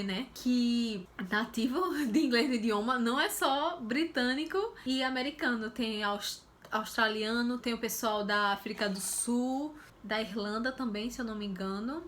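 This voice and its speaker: A woman's voice: a little high, fairly bright tone, a little hiss or hum. A young woman vlogging casually about how she trains her English listening, chatty and self-correcting as she goes. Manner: chatty, with self-corrections and frequent pace shifts. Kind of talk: casual explanatory vlog.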